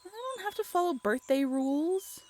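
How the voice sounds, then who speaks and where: A young woman acting an affectionate, playful girlfriend in a romantic audio roleplay, expressive and teasing. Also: very high-pitched, moderate pace, a little hiss or hum. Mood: earnest, tender. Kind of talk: romantic comfort audio roleplay, acted one-sided conversation.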